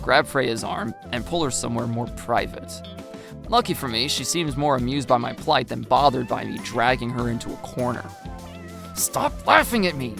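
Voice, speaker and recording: A woman's voice: very deep voice, vocal fry. A woman reading a scripted first-person story as its narrator.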